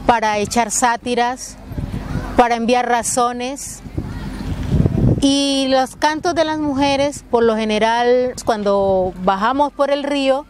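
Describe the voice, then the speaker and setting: A woman speaking slowly and deliberately about traditional singing in a documentary interview. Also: a little high, background noise.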